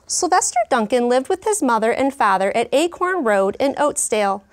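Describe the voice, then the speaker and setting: A woman in her thirties, a teacher in a classroom, reading a story aloud with clear, expressive delivery and pausing to explain words. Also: on the high side. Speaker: kindergarten teacher. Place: elementary classroom.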